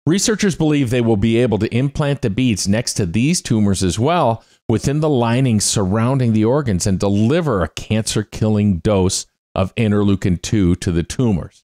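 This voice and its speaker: Man, middle-aged, animated pitch, upbeat news read, close studio mic. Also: moderate pace, few pauses. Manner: upbeat, exclaiming. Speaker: news show host. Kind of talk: scripted science news read. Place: small home studio. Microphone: close studio mic on arm.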